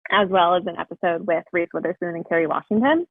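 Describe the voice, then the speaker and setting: A woman's voice: lowish voice, quick pace, creaky, husky, no room sound. A young woman talking as a podcast guest in a chatty way, full of "like" fillers, over a video call. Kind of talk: casual interview chat. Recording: dry, phone-like call audio.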